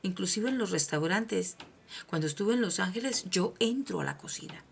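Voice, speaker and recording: A woman from Colombia with a Colombian accent, giving a personal motivational monologue as host, emphatic and preaching, swinging from soft asides to loud declarations. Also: mid-range voice, expressive, normal speed, vocal fry, slightly rough.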